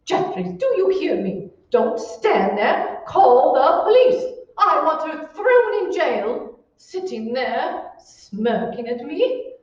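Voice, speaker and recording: A woman playing an imperious, shrewish wife in an old-time radio horror play, with a shrill, scornful, commanding manner. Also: big pitch swings, slow, heavily acted. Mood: furious, demanding. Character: imperious, shrewish wealthy wife, bossy, panicked by a ghost. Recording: muffled, very echoey, distant stage recording.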